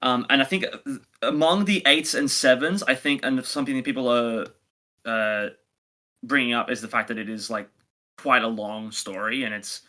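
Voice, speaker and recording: A man's voice: mid-range voice, moderate pace, pushed and bright. A man co-hosting a review podcast, talking casually and conversationally as he gives his opinions.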